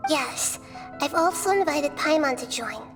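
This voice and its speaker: A woman voicing a gentle, wise young-girl goddess who speaks softly and patiently, in a video game scene. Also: high voice, animated. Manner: soft, patient, measured. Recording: game music under voice. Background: game background music.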